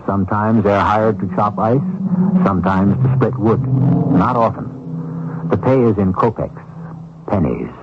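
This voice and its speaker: Low-pitched, animated male radio narrator. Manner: measured, theatrical, short clipped phrases. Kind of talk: scripted dramatic storytelling narration for radio. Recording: dull, lo-fi radio recording with music. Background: music.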